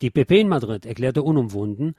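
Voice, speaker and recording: A man's voice: mid-range voice, expressive, quick pace, dark tone, husky, dry recording, clean studio sound. A man reading scripted radio news and commentary in a wry, sardonic manner. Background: guitar music.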